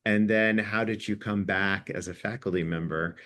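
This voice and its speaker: A man's voice: fairly low pitch, fairly even intonation, normal speed, fairly bright tone. A man hosting an online town hall, introducing and questioning a guest in a conversational, semi-formal way.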